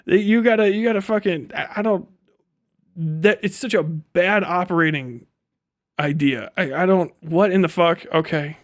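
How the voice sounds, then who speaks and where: A man's voice: high-pitched, moderate pace, no echo, studio quality. A man doing casual livestream commentary, talking in an emphatic, profane, ranting way. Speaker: livestream host.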